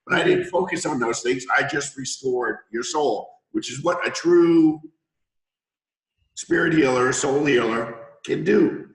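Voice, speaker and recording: A middle-aged man with a hoarse voice from a cold, hosting an interview as a healer and explaining things at length with analogies. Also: mid-range voice, unhurried, echoey room, low-quality recording. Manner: confident, lecturing with analogies. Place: room in Thailand.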